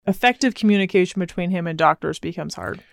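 A woman with a fairly low voice chats casually as a mother. She speaks calmly and evenly, teasing a little and laughing softly. The sound is dry and close to the mic. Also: quick pace, gravelly, no echo. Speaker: mother, co-host. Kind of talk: casual chat.

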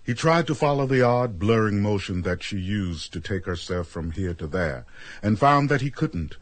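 A man narrating a radio drama as a measured storyteller, reading scripted prose. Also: on the low side, lively intonation, no room sound, close to an everyday voice. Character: measured storyteller of a strange tale.

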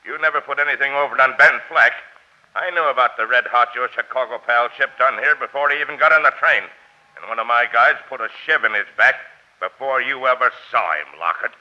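A cool, menacing mob boss in 1940s radio style. His slangy threats come in a hard, forceful voice on a dull vintage recording. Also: medium pitch, fairly quick. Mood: cold, menacing. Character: menacing, cool, double-cross-hating male gang boss.

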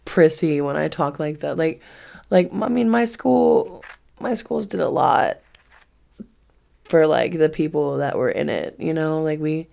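A woman's voice: low-pitched, measured pace, vocal fry, dark tone. A woman in her twenties chatting casually on a solo podcast, rambling and swearing freely with emphatic repetition. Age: 20s. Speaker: podcast host.

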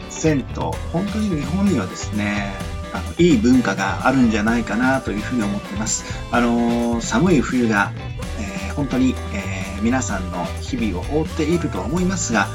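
A man hosts a laid-back radio chat. His pitch moves around in a lively, animated way, and he pads his talk with fillers and polite turns of phrase. Soft guitar music plays along with him. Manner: chatty, frequent sentence-ending fillers, polite sign-offs. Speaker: radio show host. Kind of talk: casual amateur radio chat.

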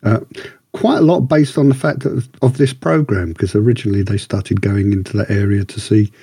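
A man's voice: lowish voice, normal speed, dark tone, slightly rough, dry recording. A man co-hosting a podcast, chatting casually and rambling a little, repeating words for emphasis. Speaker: podcast co-host. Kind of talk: casual review chat.

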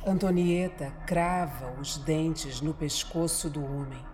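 A woman with a Brazilian accent narrating a horror radio drama from a script, in a deliberate, dramatic storytelling manner. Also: low voice, steady tone, background noise.

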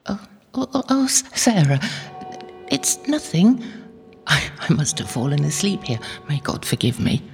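An actress plays an elderly medieval anchoress in an audio drama, devout and gentle. Her voice is low and gravelly with creak, hushed and reflective as she speaks in earnest prayer. Soft music sits behind her. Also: sing-song, a little slow, heavily acted. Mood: flustered, grateful.

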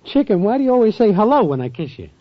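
A man's voice: sits high, very animated, fast talker. A man playing a scheming small-time hustler boyfriend with cocky, streetwise patter in a muffled 1940s radio sitcom. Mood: affectionate. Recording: muffled old radio with faint noise.

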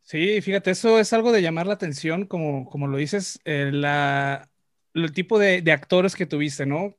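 Animated Mexican man, dry close sound. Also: a little high, normal speed, no room sound. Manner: animated, slangy questioning. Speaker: interviewer. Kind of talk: casual interview.

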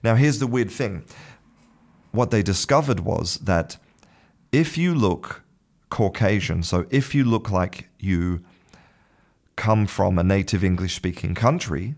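A man teaching an English lesson, explaining things in a measured way and often repeating words as he searches for the next one. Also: sits low, vocal fry.

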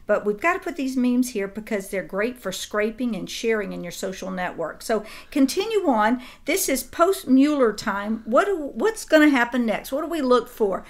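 A woman co-hosting a political commentary talk, lively and quick to interject. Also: fairly high pitch. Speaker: co-host.

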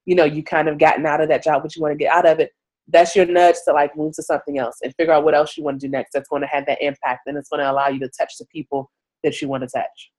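A woman journalist being interviewed, talking casually with lots of fillers, stuffy from a cold and often clearing her throat. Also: sits low, little pitch movement, fast talker, darkish tone. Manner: chatty, many "like" and "you know" fillers. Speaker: journalist guest.

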